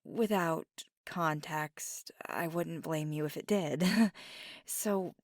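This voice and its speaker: A woman voicing a playful, mischievous, kindly witch in an intimate, unhurried ASMR monologue that slips into near-whispers. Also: sits low, no echo, studio quality, full character voice. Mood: soft, musing. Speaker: ASMR audio performer.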